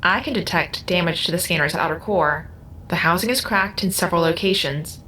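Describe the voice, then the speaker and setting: Woman acting a coolly precise computer intelligence, lowish. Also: fairly quick, bright, forceful tone, a little hiss or hum. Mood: clinical. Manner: formal, clinical, exact. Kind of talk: audio drama acting.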